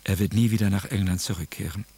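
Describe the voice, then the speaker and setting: A sober male narrator speaks in a fairly low, husky voice. His delivery is detached and factual, scripted for a radio drama. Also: steady tone, fast talker, mellow. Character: sober documentary narrator, detached adult man.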